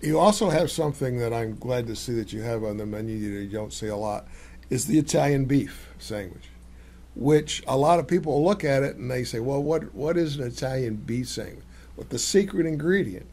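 A man's voice: mid-range voice, animated, normal speed, darkish tone. An older man hosting a cooking talk show, chatting enthusiastically with guests and rambling through restarts. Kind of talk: casual chat. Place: studio set, seated on leather couch.

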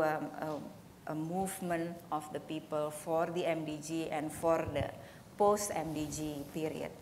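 A woman in her thirties speaks on a conference panel, earnest and halting, with frequent ums between phrases. Her voice sits fairly low. The room adds a long reverb tail and a faint hum of ambience. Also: darkish tone. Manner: hesitant, many ums, earnest. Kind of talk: panel discussion answer.

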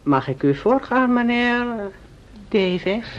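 Polite, lively-pitched young servant. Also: male voice, heavily acted. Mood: deferential. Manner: deferential, halting. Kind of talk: old Dutch radio play acting. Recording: muffled vintage radio recording.

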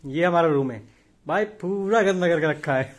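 A man's voice: fairly high pitch, moderate pace. A man chatting casually as a travel companion in a vlog. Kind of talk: casual chat.

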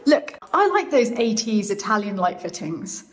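A woman's voice: medium pitch, very animated, normal speed, slight background noise, poor audio. A woman, chatting enthusiastically to her phone camera in a large bathroom while she works. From England. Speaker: vlog host. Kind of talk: casual vlog narration. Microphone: handheld phone camera at arm's length.

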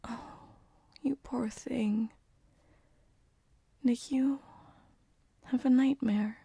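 A gentle female paralysis demon, acted by a woman, murmurs in a very slow, breathy whisper. The voice is soft and mellow with some creak, close to the mic, teasing and soothing in ASMR roleplay style. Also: a little high, fairly even intonation, no room sound, heavily acted. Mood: sympathetic, soothing. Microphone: close binaural microphone.

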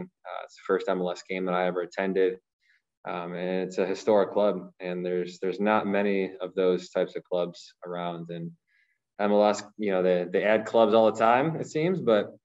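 A man with a very low voice answers press questions over a slightly dull, lo-fi video call. He talks in a measured way, often restarting and repeating words as he gathers his thoughts. He speaks like a professional athlete from Ohio. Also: a little mellow. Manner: measured, repeats words while thinking, many fillers. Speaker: professional goalkeeper. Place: small room, branded backdrop. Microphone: video call, masked.

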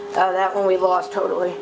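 A speaker casually showing off a collection on a handheld camera at home, halting and often clearing the throat or coughing. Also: medium pitch. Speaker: video host showing a collection. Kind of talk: casual handheld product show-and-tell. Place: indoors at a desk in a home room. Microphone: handheld camera's built-in mic. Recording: noisy home camera audio, clicks.

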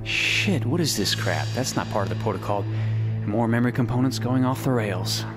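A male factory operator from an animated sci-fi film, played by an actor. He sounds offhand and businesslike, brusque with the machines he tests. Clear music runs behind his voice. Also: medium pitch, normal speed, natural voice. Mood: irritated, firm.

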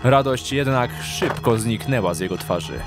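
A man's voice: medium pitch, expressive, moderate pace. A man narrating a dramatic audio drama from a script. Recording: clear music behind the narration.

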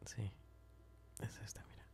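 A man playing a smitten, tender and teasing best friend in an ASMR roleplay, speaking softly and hesitantly, often whispering and repeating himself. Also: unhurried, close to an everyday voice. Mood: shy, intimate. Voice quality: soft, breathy, often whispering. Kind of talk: ASMR roleplay, intimate chat.

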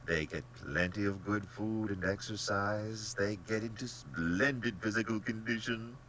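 Casting: smug, genteel storybook general, cold-blooded and pompous, forceful tone with big swoops, faint hum behind. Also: male voice, low-pitched, big pitch swings, takes it slowly, pitched above the performer's own voice, exaggerated voice. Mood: blandly menacing. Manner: blandly polite, pompous, theatrically emphatic. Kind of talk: dramatic audiobook character acting.

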